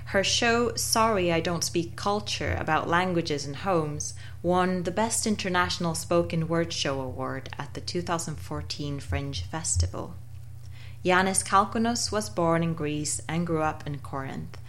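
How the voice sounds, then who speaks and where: A woman's voice: fairly low pitch, a little slow. A woman hosting a poetry podcast, reading an introduction to her guests and then asking them questions. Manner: warm, enthusiastic introductions. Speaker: podcast host. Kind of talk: scripted intro and interview questions.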